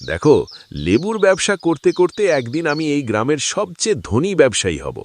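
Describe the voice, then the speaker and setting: A man's voice: a little high, wildly swooping pitch, a little fast, slight background noise. A man voicing a boastful, greedy, scheming village farmer in a cartoon, bragging and gloating. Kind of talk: cartoon voice acting.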